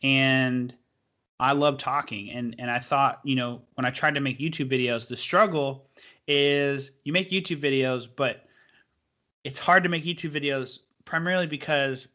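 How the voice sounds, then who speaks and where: A man's voice: mid-range voice, fairly even intonation, normal speed, sharp tone. A young man hosting a podcast who talks casually and enthusiastically and rambles with many fillers. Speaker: podcast host.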